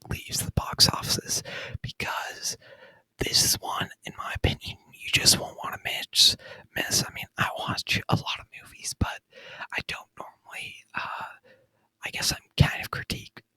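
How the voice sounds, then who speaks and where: A man speaking in a breathy, unvoiced whisper close to the microphone, chatting in an informal, rambling, enthusiastic ASMR review style. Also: unhurried, pushed and bright, dry recording. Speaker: ASMR video creator and gamer. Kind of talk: whispered ASMR movie review, casual chat. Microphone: close microphone, dry sound.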